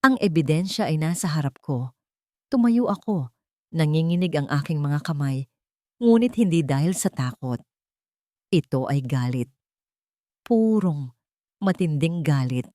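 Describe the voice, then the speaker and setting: Low-voiced woman narrating a drama. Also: expressive, a little slow, long pauses, darkish tone, dry recording, studio quality. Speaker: audio story narrator. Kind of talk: scripted dramatic story narration.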